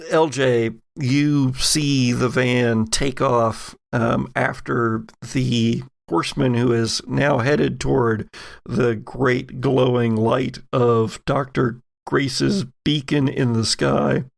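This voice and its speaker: Slow, gravelly man narrating a game. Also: mid-range voice, steady tone. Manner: dramatic storytelling mixed with casual asides. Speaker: game master of an actual-play podcast. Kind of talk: narrating a tabletop roleplaying game.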